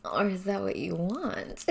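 Woman, intimate whisper, breathy, dominant teasing tone, gravelly fry, unhurried delivery. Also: mid-range voice, slight background noise. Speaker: performer of an audio roleplay. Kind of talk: intimate whispered audio roleplay addressed to the listener.

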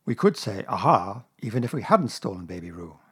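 A man voicing a gentle, slow-witted bear in a children's storybook, modest, simple and plodding in manner. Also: lowish voice, very animated, breathy edge, exaggerated voice. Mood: humble, musing. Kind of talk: children's storybook character reading.

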